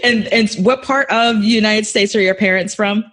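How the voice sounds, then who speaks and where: A man's voice: high voice, moderate pace, pushed and bright. A man hosting a podcast interview, upbeat and encouraging, laughing often as he asks questions. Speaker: podcast host.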